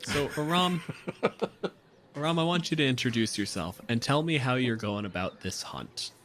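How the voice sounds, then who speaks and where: A man's voice: mid-range voice, expressive, normal speed, a bit bright, slight background noise. A man hosting as game master, telling the story conversationally with dramatic emphasis as he improvises. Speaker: game master and show host. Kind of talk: improvised tabletop game narration.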